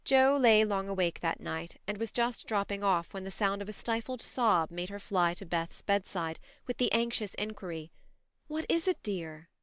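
A woman reading a classic novel aloud as an audiobook narrator, in an expressive literary storytelling style. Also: medium pitch, normal speed, hardly any pauses, clean tone, no echo, studio quality.

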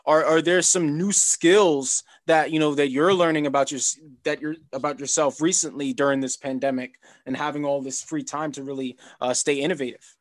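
Male podcast host, higher-pitched voice, loose rambling delivery. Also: steady tone, moderate pace, hardly any pauses. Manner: rambling, conversational, repeats himself. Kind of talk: casual interview chat.

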